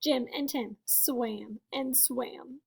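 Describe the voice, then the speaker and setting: A woman teacher doing an expressive storybook read-aloud for first graders, chatting to them and asking questions. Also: high-pitched, extremely slow, gravelly, slightly rough. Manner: expressive, addressing young children with questions. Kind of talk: animated children's read-aloud.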